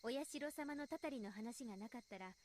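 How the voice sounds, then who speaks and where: A woman voicing a poised, polite teenage village girl in a Japanese visual novel, matter-of-fact and gently teasing. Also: fairly high pitch, racing, slight background noise, natural voice. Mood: explanatory.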